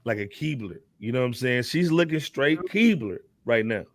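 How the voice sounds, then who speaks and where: A man giving casual hip hop commentary as a panelist, animated and slangy, often checking that listeners follow him. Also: mid-range voice, brisk, no room sound, clean studio sound. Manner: animated slangy talk with repeated check-in phrases. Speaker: talk show panelist.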